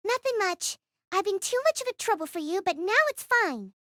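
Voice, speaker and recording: Young schoolgirl, very high bright voice, curt, composed, proud, audio drama. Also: animated, moderate pace, dry recording, studio quality. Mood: guarded, curt. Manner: curt, composed, cold politeness. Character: tough, proud young schoolgirl hiding grief and spite. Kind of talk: audio drama character acting.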